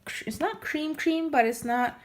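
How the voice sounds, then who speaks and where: A woman chatting casually in a vlog, her voice slightly hoarse as she gets over losing it, rambling with many restarts and fillers. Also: sits high, moderate pace. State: recovering from lost voice, slightly hoarse. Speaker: scrapbooking vlogger. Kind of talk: casual show-and-tell chat.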